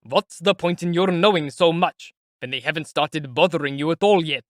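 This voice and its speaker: A sly middle-aged investigator, jovial and sardonic, needling his suspect with polite mockery. A man reads him in an audiobook with a fairly high voice. The sound is dry and close. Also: normal speed, no echo, natural voice. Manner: chatty, teasing, politely mocking. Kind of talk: audiobook character voice.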